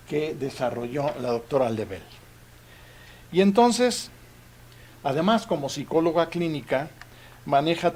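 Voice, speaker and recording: A man's voice: fairly high pitch, a little mellow. A man hosting a talk, giving a formal spoken introduction of a guest speaker. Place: university auditorium. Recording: noisy auditorium recording.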